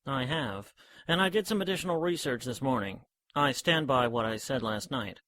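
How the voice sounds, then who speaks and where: A mid-pitched male voice performed by a man for a bookish gentleman scientist in an audio drama. He is composed and sure of himself, explaining things with formal, pedantic precision. The sound is dry and close. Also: normal speed, dry recording, natural voice. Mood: earnest, lecturing. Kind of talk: scripted audio drama dialogue.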